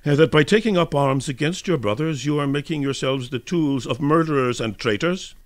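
Guy doing a snooty scornful young student man, voice pitched up, dramatic reading. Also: normal speed, close to an everyday voice. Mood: insolent. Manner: haughty, lecturing. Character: supercilious young male student, insolent and scornful.

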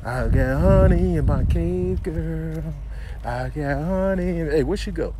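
A man voicing a flirty, smooth-talking bear in wheedling slang for a comic animal voiceover. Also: a little high, heavy background noise, lightly acted. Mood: flirty, coaxing. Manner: wheedling, drawn-out street slang. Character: flirty, smooth-talking male bear bragging about his cave.

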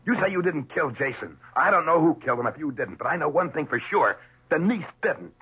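Stubborn, very husky man, old radio. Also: fairly high pitch, very animated, fairly quick, heavily acted. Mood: indignant, stubborn. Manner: firm, protesting. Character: loyal, stubborn, guilt-ridden man defending a woman. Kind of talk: 1970s radio drama acting. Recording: dull old radio broadcast.

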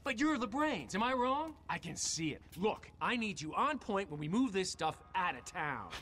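A man's voice: high voice, sing-song, moderate pace, bright, forceful tone, a little hiss or hum, exaggerated voice. An American man playing a glib, boastful, patronising middle-aged tycoon in a video game cutscene. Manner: glib, self-satisfied. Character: smug, slick male tycoon, boastful and patronising.

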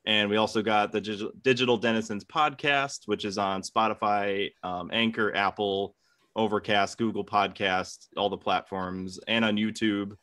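Male podcast contestant, low register, forceful bright tone, casual. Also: fairly even intonation, moderate pace. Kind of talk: casual video-call chat. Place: indoor room at home.

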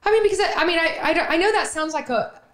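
A woman's voice: very high voice, expressive, quick pace. A woman, hosting a podcast interview in an emphatic, conversational way. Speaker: podcast host. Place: small wood-panelled podcast studio, indoors. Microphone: studio microphone on boom arm. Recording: roomy studio echo.

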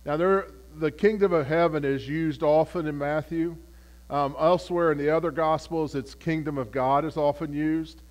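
A man leading a Bible study as pastor, phlegmy and clearing his throat, halting with many repeated words and fillers. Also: fairly high pitch, steady tone. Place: church. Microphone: camera.

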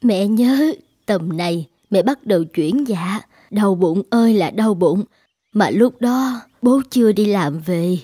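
A woman voicing a frail, recovering mother in a dubbed cartoon, speaking softly and tenderly. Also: medium pitch, animated, takes it slowly, slightly rough, no echo, studio quality. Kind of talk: cartoon dubbing.

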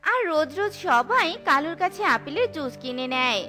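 A woman's voice: high voice, big pitch swings, moderate pace, sharp tone. A woman narrator reading a scripted story for children. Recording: music under the voice.

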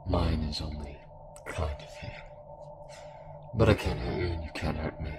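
Man voicing a very deep, weary cult villain. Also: steady tone, background noise. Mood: resigned, taunting. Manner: slow, resigned, taunting. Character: weary, menacing male cultist villain in spirit projection. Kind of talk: audio roleplay voice acting. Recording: music behind the voice.

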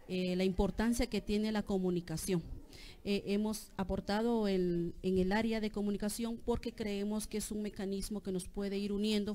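Mellow-voiced middle-aged Guatemalan woman. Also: mid-range voice. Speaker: interviewee, committee member. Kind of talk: formal interview answer. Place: outdoor patio at night. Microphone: handheld microphone held by interviewer.

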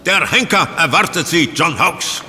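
A pompous lord detective on stage, very sharp forceful voice, declaiming in a big echoey hall. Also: male voice, a little high, lively intonation, moderate pace, slight background noise. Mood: triumphant. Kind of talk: stage melodrama within an audio drama. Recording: echoing theatre hall, faint music.

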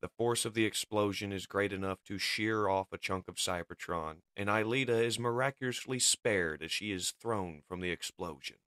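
Deep, forceful male comic narrator. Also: moderate pace, hardly any pauses, dry recording, clean studio sound. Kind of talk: dramatic scripted narration of a comic. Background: music.

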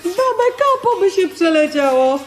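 A streetwise, disillusioned woman hustler in a radio play. She is blunt and colloquial, with lively intonation and faint music behind her. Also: very high-pitched, normal speed. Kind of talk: radio drama acting.

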